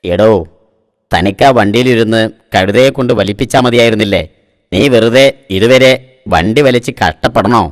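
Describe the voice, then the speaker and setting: A man's voice: on the low side, normal speed, no echo, studio quality, lightly acted. A man voicing a shrewd middle-aged boss in a cartoon, talking in a bossy, scheming way. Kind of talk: cartoon voice acting. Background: background music.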